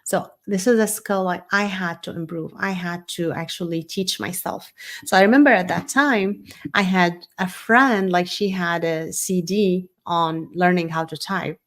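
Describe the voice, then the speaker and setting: Slow, unscripted woman. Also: on the low side, fairly even intonation, a bit bright. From Syria. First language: Arabic. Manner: loose, rambling, frequent fillers and restarts. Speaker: host. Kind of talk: unscripted live talk.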